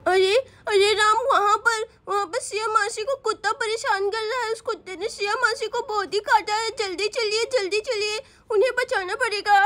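A woman's voice: extremely high pitch, steady tone, normal speed, few pauses, pushed and bright. A woman voicing an anxious little girl in a cartoon story, urgently calling for help.

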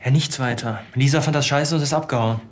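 Actor as a cocky, fast-talking young man. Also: mid-range voice, a little hiss or hum, lightly acted. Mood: defensive. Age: young adult. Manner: insolent, casual. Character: cocky, defensive young male murder suspect. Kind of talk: TV crime drama acting.

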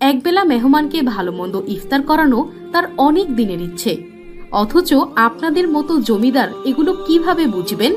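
A woman's voice: high voice, big pitch swings, moderate pace, faint music behind. A woman voicing a kind, outspoken female house guest in a cartoon, earnest and assertive. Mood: indignant. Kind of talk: cartoon voice acting.

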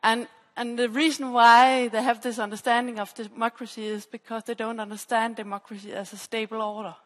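A woman researcher gives an academic talk. Her voice sits slightly high, and she explains by restating her phrases. The headset sound carries the long echo of a large hall. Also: level tone, brisk. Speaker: PhD researcher presenting at a conference. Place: stage in a large dark auditorium. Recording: echoey hall with long reverb.

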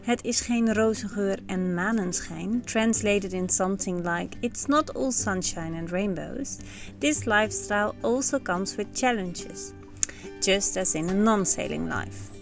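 A Dutch woman in her thirties with a Dutch accent, calmly narrating her family's sailing year in a scripted voice-over. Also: medium pitch, unhurried, vocal fry, music in the background. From Netherlands. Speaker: sailing vlogger. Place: on a sailboat cockpit under a canopy, outdoors.

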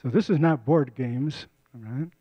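A man's voice: medium pitch, normal speed, slightly rough, dry recording, highs cut off. An older man giving a casual community lecture on a handheld mic, often repeating and restarting words. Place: lecture room.

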